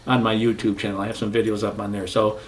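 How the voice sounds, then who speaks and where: A man's voice: fairly low pitch, flat delivery, fast talker. A man in his sixties hosting a casual car podcast, easygoing and rambling, often repeating "yeah". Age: 60s. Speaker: podcast host. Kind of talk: casual chat.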